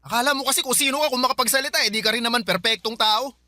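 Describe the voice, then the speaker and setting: Casting: male actor as resentful young brother, radio drama, rapid bright delivery, defiant and argumentative. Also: very high-pitched, no room sound, studio quality, exaggerated voice. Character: rebellious, resentful young jobless brother lashing out. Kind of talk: radio drama dialogue in Tagalog love story.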